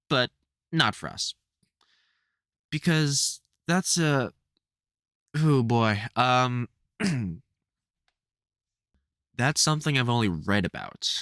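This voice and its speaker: A man with a British accent playing a caring veteran vampire hunter in an audio roleplay, halting and sweary, exasperated but gentle. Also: medium pitch, dry recording, studio quality. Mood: uneasy, explaining. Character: gruff, caring veteran male vampire hunter, protective and worried. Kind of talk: audio roleplay, speaking to an unheard listener.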